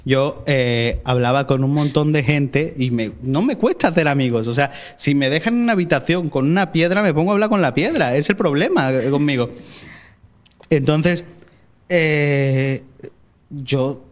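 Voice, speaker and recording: A young man speaks with a Málaga accent tinged with Mexican. He tells his personal faith story as a podcast guest in an easy conversational way, often pausing and restarting his sentences. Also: a little high. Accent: Málaga Spanish with Mexican traces. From born in Mexico, raised in Spain. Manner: chatty, storytelling, frequent hesitations and restarts.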